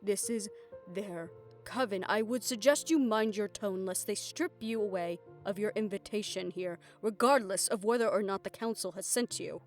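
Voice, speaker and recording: An actress playing a composed, authoritative aunt and family elder in an audio roleplay drama, speaking in a measured, formal, stern way. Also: female voice, mid-range voice, faint music behind, natural voice.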